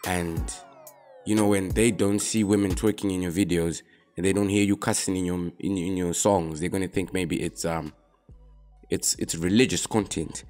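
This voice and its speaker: A young man from Zimbabwe, a rapper answering questions about himself in relaxed, casual talk. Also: low voice, normal speed, faint music behind. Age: young adult. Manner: relaxed, laid-back, drops in "man" often.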